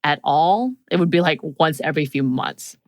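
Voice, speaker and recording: Low-pitched woman, casual storyteller. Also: moderate pace, no echo, studio quality. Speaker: podcast co-host.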